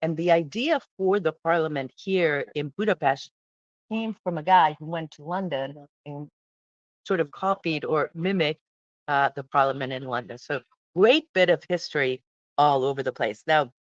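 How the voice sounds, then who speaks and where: A woman's voice: sits low, moderate pace, no echo, dull audio. A woman hosting a travel vlog, talking casually and emphatically, often throwing out questions as she explains. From born in Hungary. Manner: chatty, emphatic, asks questions.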